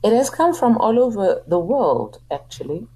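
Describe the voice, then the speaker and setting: A woman's voice: medium pitch, big pitch swings, moderate pace, mellow, a little hiss or hum, low-quality recording. A middle-aged woman from South Africa, an opera singer speaking earnestly and with passion as a guest in a radio interview. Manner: earnest, impassioned, speaks out against abuse. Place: radio studio.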